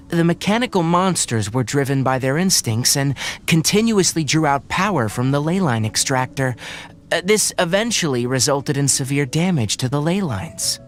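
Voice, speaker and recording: A woman voicing a calm, serious, thoughtful young scholar in a video game, measured and explanatory. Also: low-pitched, a little hiss or hum. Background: background music.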